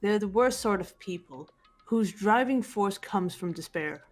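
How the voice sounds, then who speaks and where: A woman voicing a cool, serious teenage girl detective in a visual novel game, measured and matter-of-fact. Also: medium pitch, expressive, soft background music. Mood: composed, serious. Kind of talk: visual novel character reading.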